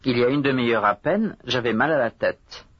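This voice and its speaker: A man with a husky, slightly breathy voice answers drill prompts in a careful, measured way, each phrase articulated clearly. The sound is dull and lo-fi, recorded close and dry with no room around it. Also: mid-range voice, dry recording. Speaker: language course model speaker. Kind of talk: scripted language drill responses.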